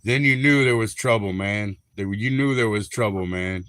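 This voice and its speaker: A man's voice: lowish voice, normal speed, fairly bright tone, no room sound. A man from Minnesota hosting a live stream, chatting casually in a crude, joking, rambling way. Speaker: livestream host.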